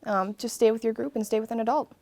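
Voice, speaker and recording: A woman's voice: on the high side, steady tone, fast, a little mellow, no echo. A young adult woman, a police officer, answering interview questions casually with fillers.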